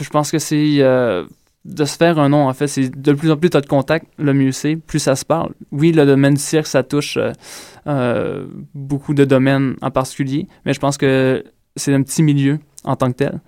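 Young Quebec French man, flat smooth voice. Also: mid-range voice, moderate pace, no echo, studio quality. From Ontario, raised in Quebec. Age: young adult. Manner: hesitant, many "euh" fillers, earnest. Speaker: circus school graduate, interview guest. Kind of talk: casual interview answers.

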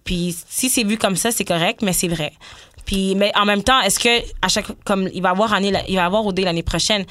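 A woman's voice: medium pitch, fairly even intonation, brisk, fairly bright tone, dry recording. A young woman with a Quebec French accent talking casually as an interview guest, emphatic and slangy, mixing in English words. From Quebec, Canada. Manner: emphatic, slangy, sprinkles English words, often seeks agreement. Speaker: guest, former reality show contestant.

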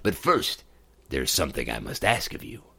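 Man doing a soft-spoken little frog. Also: very deep voice, very animated, normal speed, breathy edge, pitched below the performer's own voice, full character voice. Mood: gentle. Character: small polite green frog, calm and patient.

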